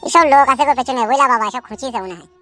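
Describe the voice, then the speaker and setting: Shrill, rapid-fire village lad. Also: female voice, pushed and bright, soft background music. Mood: aggrieved. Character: cheeky, aggrieved young village lad. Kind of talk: comic cartoon dialogue in rural dialect.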